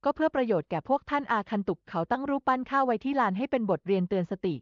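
A woman narrates a scripted story in a fairly high voice. The recording is dry and close, with a slightly muffled top end. She delivers the text as a storyteller would. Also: animated, rapid-fire, no room sound.

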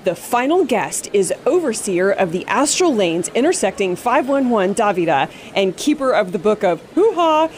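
A woman's voice: mid-range voice, sing-song, moderate pace, few pauses, darkish tone, natural voice. Woman as parody broadcast reporter, chirpy announcing, slight background noise. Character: chirpy female live event reporter. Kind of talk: parody live coverage in radio comedy. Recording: faint background ambience noise.

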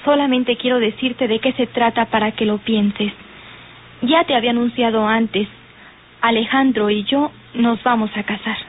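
An actress playing a composed, determined young daughter in an old radio soap. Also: female voice, a little high, steady tone, normal speed, natural voice. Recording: tinny old radio recording, faint noise.

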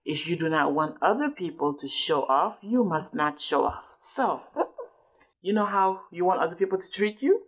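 Lady with a lowish voice reading a kids book, explaining stuff patiently and asking questions. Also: expressive, normal speed, low-quality recording. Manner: patient, explanatory, often checks understanding with questions. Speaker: adult reading a book with a child. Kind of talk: read-aloud with teaching questions.

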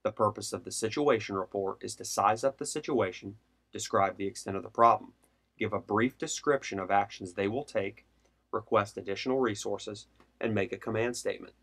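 Male narrator, low register, formal and measured, for scripted training material. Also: fairly bright tone.

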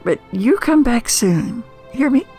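An older American woman telling nostalgic personal stories as host, in a folksy, unhurried manner with emphatic pauses. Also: fairly high pitch, expressive, dark tone, soft background music. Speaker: storyteller and host.

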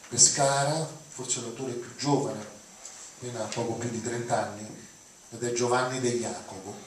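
An older man speaks in a mid-pitched voice, heard from a distance in a very echoey hall with faint noise. He introduces readings informally, as a lecturer would. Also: steady tone, moderate pace. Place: auditorium, seated on stage.

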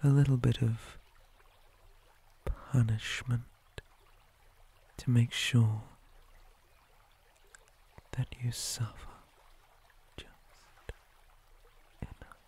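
Slow, whispering male spider monster, acted by a man. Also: mid-range voice, little pitch movement, warm tone, slight background noise. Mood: possessive, threatening. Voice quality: breathy, mostly whispered. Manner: slow, deliberate, theatrical menace. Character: possessive, menacing male spider monster captor. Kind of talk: ASMR roleplay, whispered intimate monologue.

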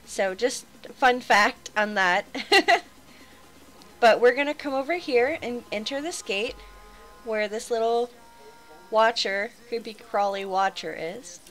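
A young woman hosting a game walkthrough, her voice a touch higher than most and slightly nasal from a head cold. She chats in a bright, upbeat way and laughs easily as she guides along. Soft music sits faintly underneath. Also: lively intonation, slow, long pauses, low-quality recording. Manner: chatty, laughs often, upbeat. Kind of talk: casual gameplay walkthrough.

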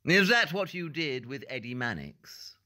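A shrewd male detective in an audio drama, bright and forceful. He is supremely self-assured and speaks with precise, theatrical diction, his pitch swinging widely. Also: big pitch swings, a little slow, full character voice. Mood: dry, probing. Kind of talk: audio play acting.

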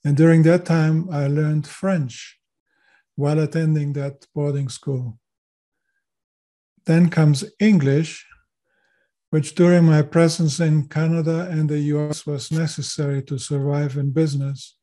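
A man in his seventies speaks with a mellow, slightly raised voice. He is a native German speaker who grew up in Chile. He gives measured answers as an interview guest. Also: on the high side, steady tone. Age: 70s. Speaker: GIS consultant and lecturer, interview guest.

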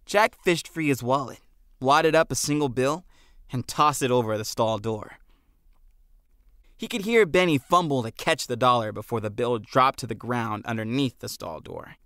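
A man's voice: mid-range voice, moderate pace, a bit bright, no room sound. A man narrating a story expressively as an audiobook reader, close on a studio microphone.

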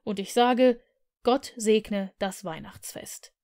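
A woman reading the part of a cheerful, warm-hearted young man who speaks earnestly and pleadingly. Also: medium pitch, takes it slowly.

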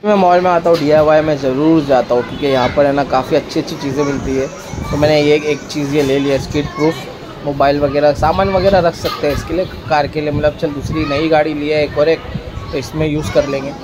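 A man's voice: fairly high pitch, steady tone, fast talker. A young man narrating a casual vlog, chatting about traffic and the crowds while he shops. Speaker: vlogger. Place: car in traffic, then crowded shopping mall. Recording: loud crowd and street noise.

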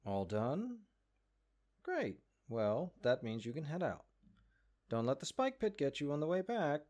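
A man's voice: on the high side, very animated, measured pace, darkish tone. A man voicing a haughty, exasperated magic book in a comedic audio roleplay, condescending and sarcastic. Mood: scheming, coaxing. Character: exasperated, haughty talking cursed grimoire, a sentient magic book.

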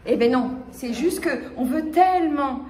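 A woman in her fifties from the Paris region, giving a lively conference talk with many fillers and restarts, gesturing as she speaks. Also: sits high, moderate pace. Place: small lecture room with projector screen. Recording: echoey room.

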